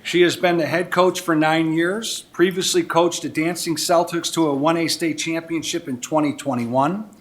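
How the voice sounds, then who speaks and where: American man in his sixties, slightly high voice. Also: steady tone, moderate pace, few pauses. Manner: informal, folksy asides amid formal reading. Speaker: mayor presiding over a village board meeting. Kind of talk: public recognition speech, partly read from prepared notes. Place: indoor village board meeting room.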